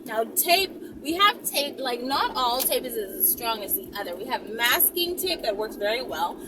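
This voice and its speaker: A woman's voice: a little high, expressive, normal speed. A woman hosting a casual vlog, chatting in a rambling, playful and bossy way, as if giving viewers instructions. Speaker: vlog host. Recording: very noisy, distant mic, vehicle ambience.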